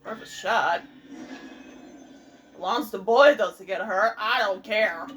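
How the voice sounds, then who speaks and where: A woman's voice: mid-range voice, takes it slowly, fairly bright tone, slight background noise, poor audio. A woman giving casual, off-the-cuff commentary as she plays a video game. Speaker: gamer, host of a let's play.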